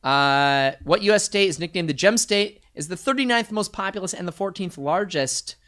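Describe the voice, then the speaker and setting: A man's voice: sits high, lively intonation, moderate pace, a bit bright. Male quiz host, casual, reads questions and scores with dry asides. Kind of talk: live-streamed quiz night banter.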